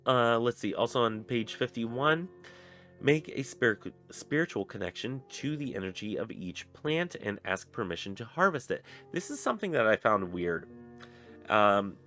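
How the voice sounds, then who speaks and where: Young man, very deep voice, congested, casual rambling book chat over soft guitar. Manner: rambling, many fillers and restarts. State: stuffy nose. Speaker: book reviewer on a pagan channel. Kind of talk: casual spoken book review.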